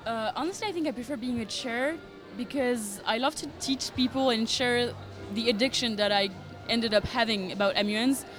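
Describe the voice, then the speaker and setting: A woman's voice: on the high side, moderate pace, few pauses. Young Parisian woman, music behind. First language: French. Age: young adult. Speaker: guest. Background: background music.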